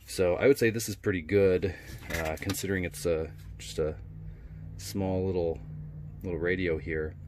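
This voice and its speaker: A man with a low voice talks through a product unboxing in a casual way. His manner is laid-back and colloquial, like an informal reviewer at home. A faint layer of room noise sits behind him. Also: steady tone, moderate pace. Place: indoors at a wooden workbench.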